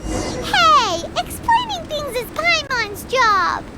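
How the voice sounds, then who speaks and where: Squeaky, excitable tiny fairy sidekick. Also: female voice, slow pace, very noisy, heavily acted. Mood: indignant, startled. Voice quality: squeaky, childlike. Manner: speaks of herself in third person, indignant. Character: tiny floating childlike fairy companion, cheeky, excitable. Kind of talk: anime video game voice acting. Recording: music behind the voice.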